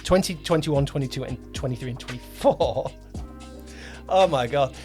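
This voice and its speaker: Male podcast co-host, mid-pitched, casual and self-correcting, over music. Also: moderate pace, a little mellow. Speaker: podcast co-host, audio drama producer.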